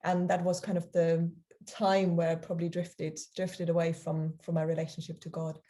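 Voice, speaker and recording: A woman's voice: on the low side, flat delivery, moderate pace, warm tone. A woman, answering interview questions in a hesitant, casual way with many ums and easy laughter. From Germany. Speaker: guest, charity founder.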